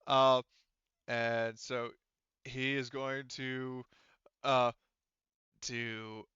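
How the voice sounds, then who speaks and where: A man running a tabletop roleplaying game, narrating casually and haltingly, often breaking off and restarting. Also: mid-range voice, flat delivery, sharp tone, smooth, dry recording, highs cut off. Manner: halting, frequent self-interruption. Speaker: game master.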